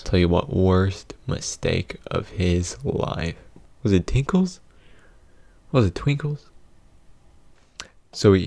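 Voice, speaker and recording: A young man hosts a casual, comedic storytime. His voice is low with plenty of creak, and he uses mock-dramatic pauses. The sound is dry and close on a studio mic. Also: a little mellow, dry recording. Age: young adult. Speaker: video host, storyteller. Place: small indoor home studio. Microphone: close studio condenser mic with pop filter.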